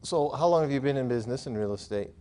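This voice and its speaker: Male host, 50s, American accent, medium pitch, conversational studio interviewer for a business program. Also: expressive, quick pace, mellow.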